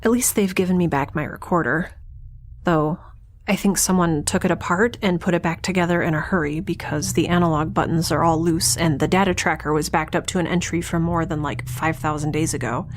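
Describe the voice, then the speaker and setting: A woman playing a stranded young scientist in an audio drama, giving wry, anxious, self-deprecating log entries in a halting, confessional way. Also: sits low, a little hiss or hum, natural voice. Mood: wry, deflated. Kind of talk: audio drama monologue, recorded log entry.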